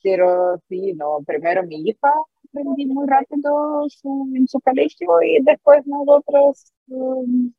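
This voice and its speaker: A woman from Siberia whose first language is Russian, speaking with a Russian accent as a startup founder in a casual interview, halting with drawn-out fillers and frequent laughs. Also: sits high, no room sound, phone-quality sound.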